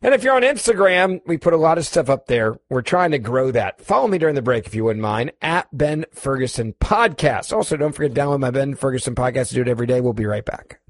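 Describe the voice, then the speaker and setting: A man hosting a talk radio show and taking calls, talking emphatically and quickly, often pushing his voice higher to drive a point home. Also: expressive, no echo. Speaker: fill-in radio talk host. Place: radio studio. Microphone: studio microphone.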